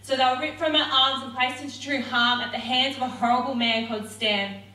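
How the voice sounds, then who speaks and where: A young woman performs rhythmic, defiant spoken word poetry in a high, sharp-edged voice. She is heard from a distance across a roomy hall. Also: steady tone, fairly quick. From Australia. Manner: rhythmic, defiant, forceful delivery. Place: theatre stage.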